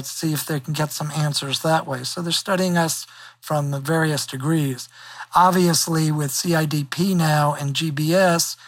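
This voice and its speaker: A man's voice: fairly high pitch, no room sound. Wispy-voiced man in his sixties. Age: 60s. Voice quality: wispy, weakened voice. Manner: upbeat, plain-spoken. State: recovering in hospital. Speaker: interview guest. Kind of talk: phone interview.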